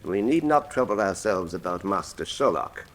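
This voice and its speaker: An actor playing an urbane, ruthless professor of crime in a radio drama, pompous and mock-courteous. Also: male voice, low-pitched, animated, normal speed. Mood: silky menace. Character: urbane, ruthless criminal mastermind, male professor.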